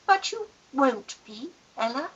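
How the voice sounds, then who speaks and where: A woman's voice: high-pitched, sing-song, slow pace, husky, dull audio, heavily acted. A woman playing a worldly older aunt in a Victorian radio play, grand, fond and wryly teasing. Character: worldly, affectionate older aunt and wealthy guardian, teasing, wry. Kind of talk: Victorian period radio acting.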